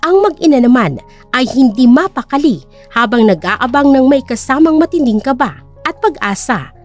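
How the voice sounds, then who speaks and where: A woman's voice: high-pitched, animated, moderate pace, soft background music. A woman narrating a scripted dramatic story.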